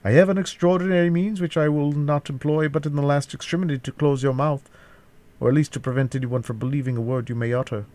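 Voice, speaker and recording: A man's voice: on the high side, fairly even intonation, fast, a little mellow, voice pushed up, lightly acted. A man voicing a menacing aristocratic villain in an audiobook, mocking and cajoling his captive. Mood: threatening. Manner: jeering, mock-cajoling, threatening. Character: jeering, menacing aristocratic male villain and captor. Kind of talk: classic novel audiobook character voice.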